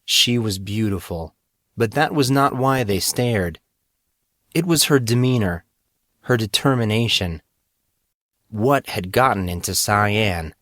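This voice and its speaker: A man's voice: fairly low pitch, expressive, slow pace, pauses often, fairly bright tone, no room sound, studio quality. A man reading scripted fiction as a dramatic audiobook narrator.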